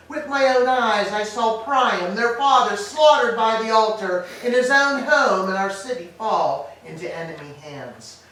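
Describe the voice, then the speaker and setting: A woman's voice: mid-range voice, fairly bright tone. Lady doing a grieving old queen, proud n bitter, declaiming in a greek tragedy reading, far from mic in big echoey room. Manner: declamatory, lamenting. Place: staged reading in a church. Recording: distant, echoey hall with background noise.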